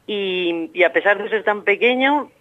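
A woman's voice: medium pitch, normal speed. A middle-aged Spanish woman from Castile, a painter talking about her work in a radio interview, hesitant with many fillers and restarts. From Spain, Castile. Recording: muffled phone line.

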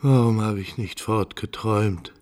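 An older man playing a frail, dying nobleman father in a radio drama, husky and creaky, lamenting in a slow, faltering way. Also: fairly low pitch, darkish tone, slight background noise, natural voice. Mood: weary sorrow. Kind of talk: theatrical radio drama acting.

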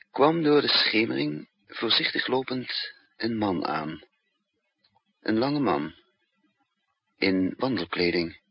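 An actor playing a bold, resolute hunted double agent in a 1960s radio drama, husky and emphatic. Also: male voice, lowish voice, no room sound, exaggerated voice. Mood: narrating. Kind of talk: 1960s radio drama acting with first-person narration. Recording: dull, noisy, roomless 1960s radio recording.

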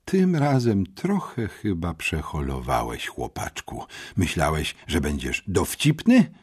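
A man's voice: sits low, wildly swooping pitch, slow pace, a little mellow, husky, voice pushed down, exaggerated voice. A man voicing a stern, domineering school headmistress in an audiobook, scolding with mocking sarcasm. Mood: indignant.

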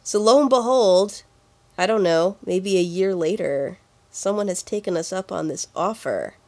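A woman's voice: mid-range voice, moderate pace, a little mellow. A woman co-hosting a podcast, chatting casually and informally about video game music. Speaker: podcast co-host.

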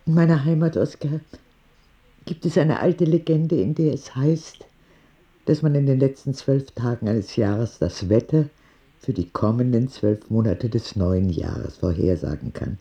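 A man playing a kindly old woman visiting a hospital in an audio drama, speaking in a gentle, measured, reassuring way. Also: medium pitch, highs cut off, lightly acted. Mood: patient, storytelling.

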